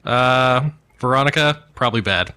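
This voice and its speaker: A man's voice: medium pitch, normal speed, pushed and bright, clean tone. A man chatting casually as a player at a tabletop game session.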